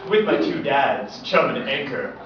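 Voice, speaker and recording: A man's voice: mid-range voice, big pitch swings, unhurried. A man voicing a sullen, hesitant young emo clownfish with a muffled mumble, in a comic fan fiction reading. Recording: distant echoey hall with noisy crowd.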